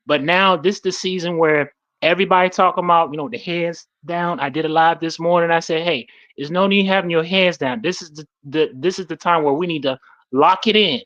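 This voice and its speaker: A man's voice: a little high, a bit bright, lo-fi sound. A man talking live and casually with conviction, repeating himself, stumbling and checking that listeners follow him. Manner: halting, repetitive, seeks agreement with listeners. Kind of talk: impassioned casual live talk.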